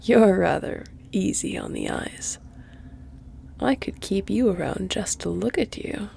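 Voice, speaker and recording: A woman voicing a flirtatious, predatory vampire lady in an intimate audio roleplay, teasing and haughty, often sinking into a breathy whisper. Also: mid-range voice, lively intonation, a little slow, a little mellow, slight background noise. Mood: seductive, menacing.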